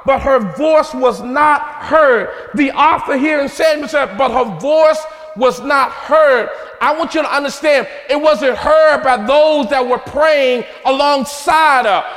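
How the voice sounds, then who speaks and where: A man preaching in a very high voice, shouting with fervor and building his phrases in rhythmic repetition. His voice rings out with a long reverb, as if in a large church hall. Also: takes it slowly, hardly any pauses.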